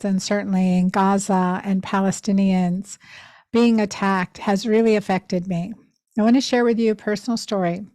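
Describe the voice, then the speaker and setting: Podcast host, woman, 50s, from Pennsylvania; heartfelt reflective storytelling, medium pitch, dry room. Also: darkish tone, no echo. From Pennsylvania, USA. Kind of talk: personal storytelling monologue.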